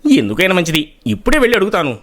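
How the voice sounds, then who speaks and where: A man acts out an earnest village fellow in a storybook tale. His voice is fairly high and swings widely as he questions and complains. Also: sing-song, racing, a bit bright, heavily acted. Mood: surprised, troubled. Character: village men in a moral tale, earnest and aggrieved. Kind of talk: animated storybook dialogue.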